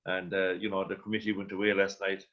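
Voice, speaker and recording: A man giving measured sports analysis on the radio, often saying "you know". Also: deep voice, monotone. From Ireland. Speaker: sports journalist, panel guest. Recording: dull lo-fi radio audio.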